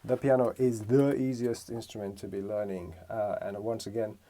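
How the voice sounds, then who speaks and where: A man's voice: fairly low pitch, fairly even intonation, normal speed, mellow. A man from Romania giving a casual music lesson, thinking aloud and correcting himself as he explains. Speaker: music teacher.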